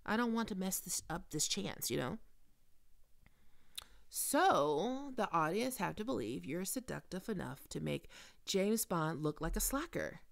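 A woman's voice: lowish voice, gravelly. A woman playing a famous, cocky and flirty actress in an intimate, teasing, confiding ASMR roleplay. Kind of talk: intimate ASMR audio roleplay, one-sided conversation.

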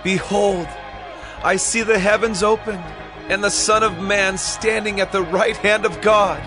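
An actor playing a fervent, defiant preacher and martyr in a dramatized scripture reading, declamatory and impassioned. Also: male voice, high-pitched, steady tone, a little slow, over music. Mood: awed, exalted.

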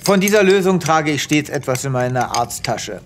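A self-important male space veterinarian played by an actor in a comic sci-fi audio play. His lively, fairly high voice turns brusque and pompous, with clear noise behind it. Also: natural voice. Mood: businesslike. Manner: pompous, brusque, halting when flustered. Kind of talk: comedic sci-fi audio drama acting.

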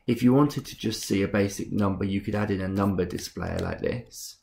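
A young man with a cold walks viewers through a tutorial, his voice husky, rough and noticeably stuffed up. He speaks in a lowish register with a gravelly fry at the ends of phrases. He talks in a relaxed, unhurried way, filling the gaps with frequent ums. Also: a little hiss or hum. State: congested from a cold. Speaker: game tutorial host. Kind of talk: screen-recorded software tutorial.

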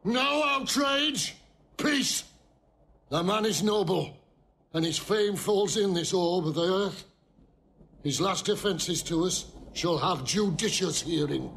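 Gravelly, deliberate, dignified lord. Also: male voice, high-pitched, a bit bright, full character voice. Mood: commanding. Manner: commanding, deliberate. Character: dignified, authoritative male lord calling for order. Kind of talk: classical Shakespearean stage acting. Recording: faint noise, restored old recording.

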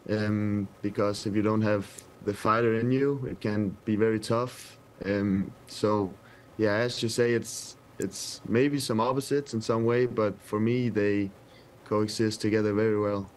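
Low-pitched, monotone, Danish accent, young man, soft-spoken, webcam sound. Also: a little slow, slight background noise. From Denmark. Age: 20s. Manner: soft-spoken, hesitant with many ums and restarts. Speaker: footballer guest. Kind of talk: remote interview answers. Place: indoor press room with sponsor backdrop. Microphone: webcam video call.

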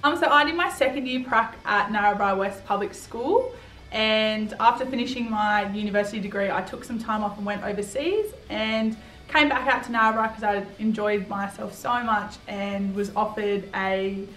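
A woman's voice: mid-range voice, normal speed, a bit bright. A young Australian woman, a kindergarten teacher, talking enthusiastically to camera in a classroom. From north coast of New South Wales, Australia. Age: young adult. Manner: upbeat and enthusiastic. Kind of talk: casual to-camera interview. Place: classroom with artwork on wall. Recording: echoey room, distant mic, faint music.

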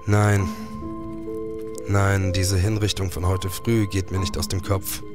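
Low-voiced haunted detective played by an actor. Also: male voice, little pitch movement, slow pace, loud music behind the voice. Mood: anguished. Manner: formal, literary storytelling. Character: grieving, sleepless male police detective, haunted and earnest. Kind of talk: radio drama acting and narration.

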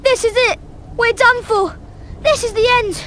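A woman's voice: very high-pitched, a little slow, fairly bright tone, slight background noise. A woman playing an anxious, plain-spoken young schoolboy sidekick in a video game, with a British accent and a grumbling, dramatic, casual manner. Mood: panicked.

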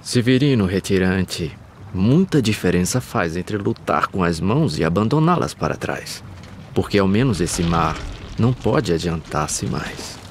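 A wise, steadfast older Brazilian carpenter in an animated verse drama. The voice is deep and swings widely as he declaims his counsel. There is clear outdoor noise behind. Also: a little slow. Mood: earnest, counselling. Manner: declamatory, counselling, swooping emphasis.

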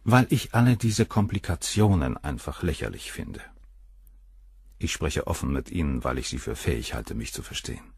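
A man's voice: very deep voice, animated, moderate pace, slightly rough, dry recording, clean studio sound, full character voice. An actor in a German crime radio play playing a cold, cynical middle-aged surgeon, detached and coolly superior as he answers questions. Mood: coolly dismissive.

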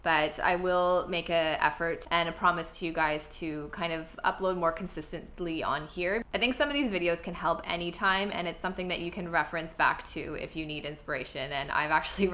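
A woman's voice: low-pitched, fairly even intonation, moderate pace, hardly any pauses, bright, forceful tone. A young woman talking to camera at home in an earnest, chatty way, a little congested from a cold. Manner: earnest, chatty, lots of fillers. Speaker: style vlogger. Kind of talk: casual talk to camera. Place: home room with clothing rack.

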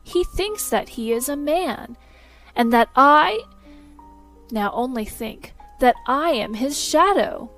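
A woman's voice: high-pitched, animated, slow, fairly bright tone, soft background music, voice pushed up, full character voice. A woman voicing a lordly, scheming shadow-man. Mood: feigned sorrow. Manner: grand, commanding, archaic speech.

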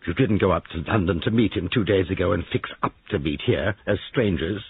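A man's voice: low voice, fairly quick, breathy edge, dry recording, full character voice. A man voicing a jealous, angry husband in an audiobook story, curt, domineering and accusing. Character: Giles, jealous angry husband, gruff and commanding. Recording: narrow-band lo-fi radio-like sound.

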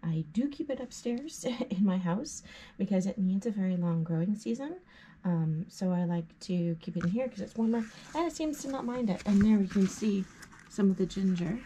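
A woman's voice: medium pitch, lively intonation, moderate pace, dark tone. A woman in her thirties hosting a gardening channel, giving a casual, upbeat tour of her indoor plants. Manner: chatty and upbeat, walking through her plants. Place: house interior, rooms and furnace room. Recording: noisy home room with furnace hum.

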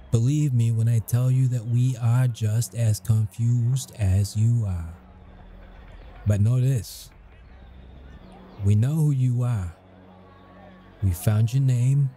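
An actor playing a grumpy but caring military intelligence interrogator in an ASMR audio roleplay, speaking in measured, halting phrases. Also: male voice, mid-range voice, dark tone, a little hiss or hum, close to an everyday voice. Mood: firm, frustrated. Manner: measured, halting, deliberate delivery.

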